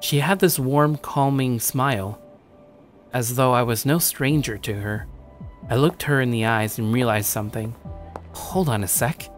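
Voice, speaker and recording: A man's voice: medium pitch, measured pace, natural voice. A man playing a jaded, self-conscious young shut-in, telling his story in the first person with weary, sardonic asides. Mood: anxious, startled. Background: background music.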